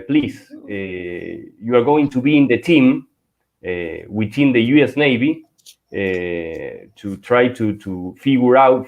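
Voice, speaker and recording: A man's voice: lowish voice, dull audio. A man talking as a guest author on a video call, telling an anecdote with many fillers. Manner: storytelling, filler-heavy. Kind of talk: casual chat on a video call.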